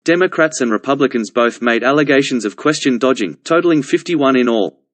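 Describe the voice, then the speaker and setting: A man reading scripted passages aloud as a model narrator, with careful, measured enunciation. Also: medium pitch, expressive, dry recording. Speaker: narrator of exam practice model answers.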